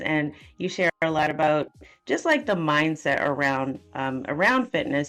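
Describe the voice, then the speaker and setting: A woman hosting a podcast, asking loose, friendly interview questions with fillers. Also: fairly low pitch. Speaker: podcast host.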